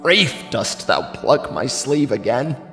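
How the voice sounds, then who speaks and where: A man's voice: medium pitch, big pitch swings, slow pace. An actor playing a grief-stricken, wavering drunken nobleman, lamenting theatrically in a classical tragedy. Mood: anguished weeping. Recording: long hall reverb, music underneath.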